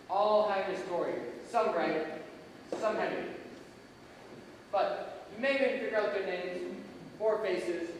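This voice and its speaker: Male actor as mysterious train conductor for school stage play: high voice, deliberate theatrical delivery, big echoing hall. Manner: deliberate, theatrical, dramatic pauses. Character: solemn mysterious train conductor welcoming travelers. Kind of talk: scripted stage monologue. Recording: echoey hall from far off, faint noise.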